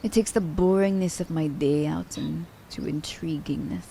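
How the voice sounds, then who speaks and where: A woman acting a gravelly, teasing female assassin. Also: low voice, dark tone, a little hiss or hum. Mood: wry, playful. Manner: playful, teasing, unhurried. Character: teasing, worldly female assassin fond of her werewolf captive. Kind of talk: ASMR roleplay acting.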